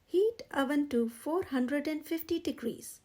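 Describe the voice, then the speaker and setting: A woman's voice: high voice, lively intonation, unhurried, mellow. A woman voicing a careful, patient turtle who reads out recipes in a storybook in an instructive way.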